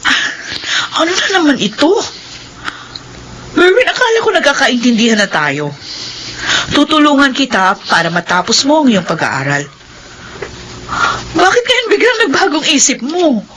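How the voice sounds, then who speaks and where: An actress as an insistent, caring woman boss speaks in a highish voice with lively swings. She is assertive, in radio drama style, with faint noise behind her. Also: measured pace, pauses often, heavily acted. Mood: exasperated, concerned. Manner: assertive, mixes English into her talk. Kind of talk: Filipino radio drama acting.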